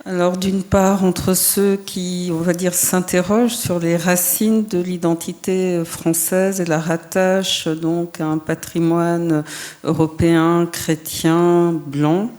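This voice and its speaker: A woman from France, a filmmaker and writer, answering a panel's questions in a thoughtful, deliberate way with frequent hesitations. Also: lowish voice, level tone, a little mellow.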